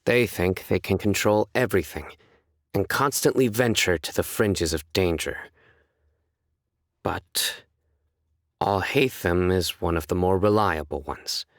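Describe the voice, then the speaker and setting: An actor playing a stern, composed young male judge in a video game, speaking in a measured, serious, deadpan way. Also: low-pitched, no echo, studio quality. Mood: earnest. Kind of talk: scripted game character voice lines.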